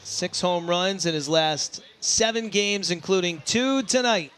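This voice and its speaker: A male baseball announcer gives live play-by-play in a high, ringing voice. He takes his time between short, emphatic phrases, with a faint bed of sound behind the broadcast. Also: unhurried, a bit bright, clear, resonant tone, faint music behind. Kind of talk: live sports play-by-play commentary.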